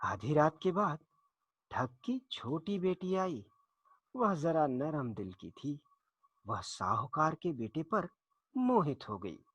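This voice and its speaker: Man, children's folktale storyteller, slow pace, lively pitch swings, dramatic pauses. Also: breathy edge, no room sound, dull audio. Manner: dramatic, deliberate pauses and repetition. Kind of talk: expressive folktale narration for children.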